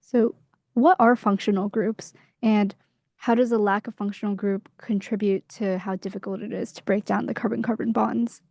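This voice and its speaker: A woman's voice: mid-range voice, steady tone, normal speed, creaky, a little mellow, no room sound, studio quality. A young adult woman hosting an interview, asking scripted questions.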